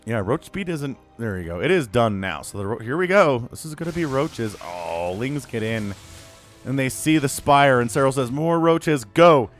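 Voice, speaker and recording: A man giving solo play-by-play commentary on a strategy video game, casual and excitable, his voice still a little rough from recovering. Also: medium pitch, moderate pace, faint music behind. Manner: casual, excitable, rises to yelling at big fights. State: voice still recovering, cracking at times. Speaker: StarCraft caster.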